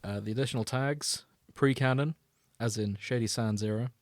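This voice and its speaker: A man with a mid-pitched voice hosts a casual chat show in a teasing, wry manner. He talks conversationally in a dry, close-sounding recording. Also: moderate pace, no room sound. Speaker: podcast host. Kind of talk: casual banter about fan fiction, reading excerpts aloud.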